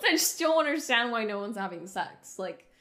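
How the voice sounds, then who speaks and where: A woman's voice: on the high side, very animated, moderate pace, fairly bright tone. Blunt woman co-host with dry quips. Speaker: podcast co-host. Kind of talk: casual chat.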